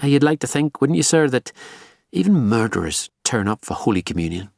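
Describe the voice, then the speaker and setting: A man's voice: medium pitch, sing-song, a little fast, creaky, a little mellow, dry recording, clean studio sound, voice pushed up. A man voicing a female detective constable with a Belfast accent in an audiobook, earnest and frank. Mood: dry teasing.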